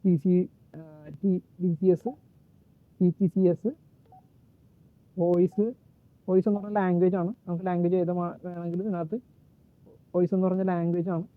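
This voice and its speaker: A man giving a casual, unscripted gadget walkthrough in a halting way, searching for words and correcting himself. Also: high-pitched, level tone, no room sound. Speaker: gadget reviewer and demonstrator. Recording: muffled phone-like narrow-band sound.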